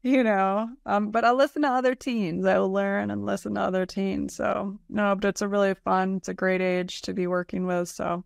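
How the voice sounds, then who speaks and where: A woman's voice: medium pitch, flat delivery, normal speed, gravelly, hardly any pauses, dry recording. A middle-aged woman hosting an interview podcast, talking conversationally in an effusive, earnest way into a close desk microphone. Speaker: podcast host. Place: indoor room, video call.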